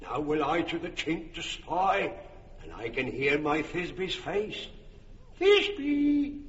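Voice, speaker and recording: Bombastic ham lover for stage comedy: high, slow declamation, echoey hall. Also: male voice, big pitch swings, a bit bright, full character voice. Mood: eager, earnest. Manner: declamatory, melodramatic, drawn-out exclamations. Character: bombastic amateur actor overplaying a lovelorn hero. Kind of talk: classical comic stage verse acting. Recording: muffled, echoey hall recording.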